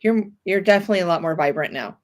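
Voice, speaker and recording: A woman co-hosts a casual live stream and keeps the talk going with agreeable murmurs. Her voice sits somewhat low for a woman. She speaks in an easy, conversational way. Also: rapid-fire, a little mellow. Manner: agreeable, frequent murmured backchannels. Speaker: co-host of a live sewing stream. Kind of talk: casual chat.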